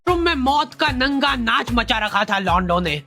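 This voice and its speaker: A high-pitched woman narrates a scripted cartoon comedy in casual slang. Her delivery is bright, exaggerated and full of mock exasperation. Light music runs underneath. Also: sing-song, normal speed, gravelly. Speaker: storyteller. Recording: music behind the voice. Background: faint music.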